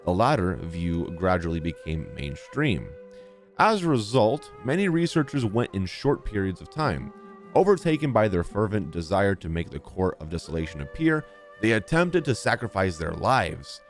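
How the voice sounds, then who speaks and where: A man's voice: deep voice, very animated, moderate pace. A man streaming a video game, reading on-screen text aloud and reacting casually. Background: game music.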